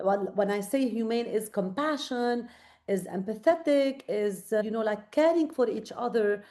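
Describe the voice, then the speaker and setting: A woman's voice: on the high side, normal speed, dark tone. A tired woman from Lebanon with a Lebanese accent, a guest on a late-night video call, speaking earnestly and reflectively with many fillers. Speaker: guest, creative director.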